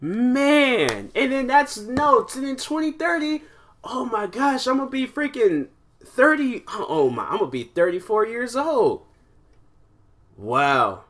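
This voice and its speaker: A man's voice: very high voice, measured pace. A man in his twenties hosting his own podcast, talking casually and rambling with lots of fillers. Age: 20s. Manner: rambling, animated, many fillers. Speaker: podcast host. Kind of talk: casual solo podcast chat.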